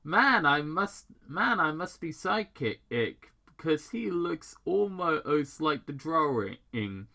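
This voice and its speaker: Man acting teenage girl, sarcastic, curious, questioning, bright, lively pitch, story read-aloud. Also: takes it slowly, gravelly, few pauses, exaggerated voice. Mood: surprised, questioning.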